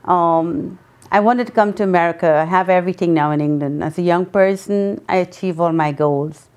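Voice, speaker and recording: A woman in her sixties from Guyana, answering interview questions earnestly, pausing often with ums. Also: on the low side, darkish tone, clear, resonant tone. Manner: earnest, with many pauses and ums. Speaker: guest, memoir author.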